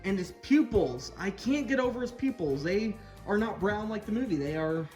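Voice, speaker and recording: A man's voice: high-pitched, lively intonation, normal speed, darkish tone. A man giving a casual hands-on toy review as the host, sharing opinions in a hesitant, meandering way. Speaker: toy collector reviewer hosting an unboxing video. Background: background music.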